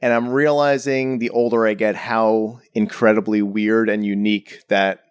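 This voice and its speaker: A man's voice: fairly low pitch, level tone, moderate pace, clear, resonant tone, no echo. Rambling New man, dry close sound. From the Bronx, New York. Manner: rambling, heavy on "like" and "you know". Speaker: painter guest. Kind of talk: casual interview chat.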